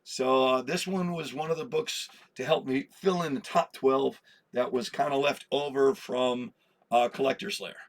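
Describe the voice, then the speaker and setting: A slightly hoarse man hosting a comic book vlog, chatty and self-deprecating, laughing at his own slips. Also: medium pitch, fairly even intonation, normal speed. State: a little hoarse. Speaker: comic collector host. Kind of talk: rambling casual vlog.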